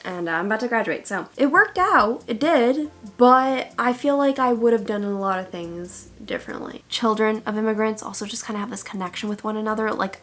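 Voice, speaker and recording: A woman's voice: mid-range voice, a bit bright. A young woman in her twenties from the United States, chatting casually and playfully to camera with sassy asides. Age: 20s. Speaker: YouTuber. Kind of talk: casual vlog chat.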